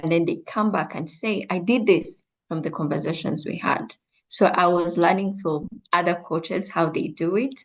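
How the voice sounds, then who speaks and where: Low-voiced woman from Rwanda, reflective. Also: low-quality recording. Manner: measured, reflective explaining. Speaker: guest coach. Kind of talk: interview answers.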